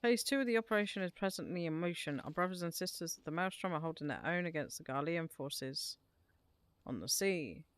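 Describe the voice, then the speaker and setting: A woman's voice: fairly low pitch, lively intonation, fairly quick, no echo, lightly acted. A woman reading out a hearty, bombastic fantasy army commander in a rousing, declamatory game-dialogue delivery. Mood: rousing, commanding. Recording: music behind the voice.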